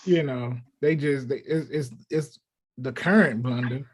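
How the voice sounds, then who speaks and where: A man's voice: medium pitch, unhurried, husky. A man on a talk show panel, debating sports casually in a matter-of-fact, argumentative way.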